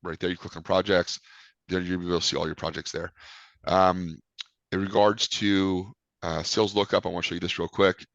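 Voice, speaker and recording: Low-voiced man with a cold. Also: flat delivery, a little fast, vocal fry, fairly bright tone, no echo, dull audio. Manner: rambling, many ums. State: sick with a cold. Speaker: sales trainer. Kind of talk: training call walkthrough.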